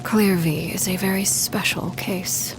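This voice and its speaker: An actress voicing a cold, composed woman who rules a household of children, speaking in a measured, formal, quietly menacing way. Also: mid-range voice, steady tone, music in the background, lightly acted, low-quality recording.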